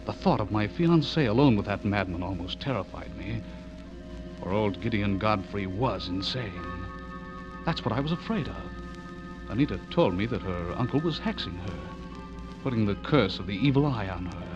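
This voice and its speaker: An anxious young newspaperman, played by a male actor, tells his horror story in a lowish voice. The delivery is dramatic and foreboding, in 1940s radio style. It comes through a muffled vintage recording with loud music underneath. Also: lively intonation, moderate pace, lightly acted. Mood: grave, fearful. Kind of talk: 1940s radio acting, first-person narration.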